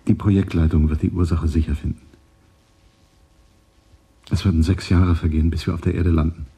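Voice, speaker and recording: An actor playing a tender, reassuring middle-aged spaceman in a science fiction radio drama, with a husky voice. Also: level tone, brisk, dark tone, exaggerated voice. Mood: hushed, reassuring. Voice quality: husky, low. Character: tender, reassuring older spaceman, pleading with his lover.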